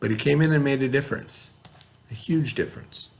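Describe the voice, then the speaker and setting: A man's voice: medium pitch, fairly quick, creaky. A man teaching young children, reading a story aloud and then reviewing it with patient questions.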